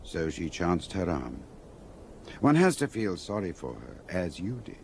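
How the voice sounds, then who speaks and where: Radio drama casting: urbane older gentleman, very low gravelly voice, wry and reflective. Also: big pitch swings, unhurried, a little hiss or hum, exaggerated voice. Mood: musing, wry.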